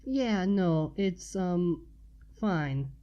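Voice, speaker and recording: A woman's voice: fairly low pitch, lively intonation, drawn-out words, warm tone, muffled recording. A woman voicing a flustered, bashful female unicorn who mumbles and stammers in a story reading.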